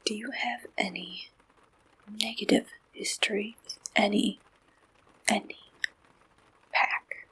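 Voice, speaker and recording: A woman whispering an ASMR roleplay as an attentive, businesslike dating service agent, asking questions softly and deliberately in a breathy voice. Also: medium pitch, steady tone, full character voice, poor audio. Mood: gentle, attentive.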